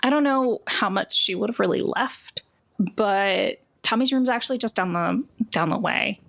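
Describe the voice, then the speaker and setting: A woman's voice: mid-range voice, moderate pace, creaky, fairly bright tone. A woman voicing a casual, guarded teen girl thief in a tabletop game. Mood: cool, explaining.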